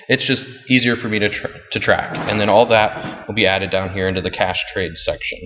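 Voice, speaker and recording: A man giving a casual, plainspoken step-by-step tutorial as he walks through a screen. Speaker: fantasy football league commissioner. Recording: muffled, boomy room with long reverb.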